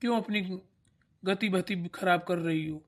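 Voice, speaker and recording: A woman's voice: fairly low pitch, unhurried, close to an everyday voice. A woman voicing a protective young husband and grown son in a family cartoon, firm and reproachful. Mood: indignant, reproachful. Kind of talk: Hindi family cartoon acting.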